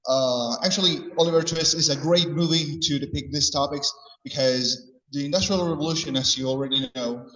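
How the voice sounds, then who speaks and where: A man's voice: a little high, fairly quick, fairly bright tone, recorded from a distance. Man presenting, echoey hall. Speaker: teacher trainee presenting group work. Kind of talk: fluent classroom presentation. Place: large classroom or hall.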